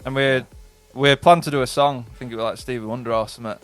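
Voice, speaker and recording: Easygoing band member. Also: male voice, medium pitch, fairly even intonation, fast, faint music behind. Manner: easygoing, storytelling. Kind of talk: casual storytelling in interview. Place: radio studio.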